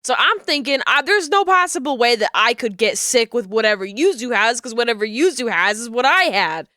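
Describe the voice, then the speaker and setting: A woman's voice: a little high, sing-song, a little fast, pushed and bright, dry recording, clean studio sound. A woman streamer with a cold, sounding congested, chatting casually and rambling before playing a game. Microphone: desk microphone.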